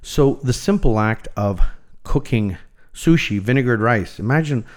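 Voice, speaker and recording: A man's voice: mid-range voice, animated, measured pace. A middle-aged man, a chef talking casually and candidly as an interview guest, musing and opinionated. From Canada. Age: middle-aged or older. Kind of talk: casual interview chat, anecdotal.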